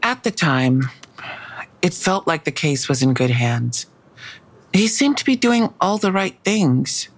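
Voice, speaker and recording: A woman playing a guarded, weary police detective in an audio drama, with a creaky voice and a hesitant manner. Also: low-pitched, very animated, takes it slowly, full character voice, low-quality recording. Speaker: actor in a fiction podcast. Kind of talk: naturalistic audio drama acting.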